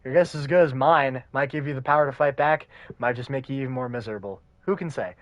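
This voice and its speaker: A man's voice: mid-range voice, fast, no echo, dull audio, voice pushed up, close to an everyday voice. A man reading out the lines of a wary woman fighter, wry and warning.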